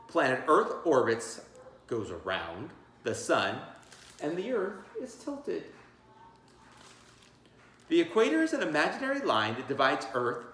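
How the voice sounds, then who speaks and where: A man's voice: mid-range voice, fairly bright tone. A man reading a children's book aloud as a teacher, theatrical and encouraging, breaking off for chatty asides and questions. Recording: echoey room.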